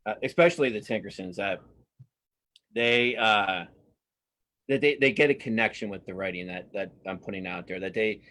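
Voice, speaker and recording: A man's voice: fairly low pitch, normal speed, a bit bright. A man speaking casually as an interview guest, a cartoonist and writer who often repeats words and restarts his phrases.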